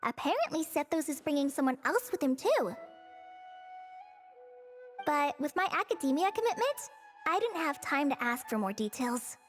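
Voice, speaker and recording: A woman's voice: high-pitched, very animated, moderate pace, pauses often, faint music behind. A woman playing an earnest, shy young female ranger trainee in a video game, polite and slightly hesitant. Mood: earnest, friendly.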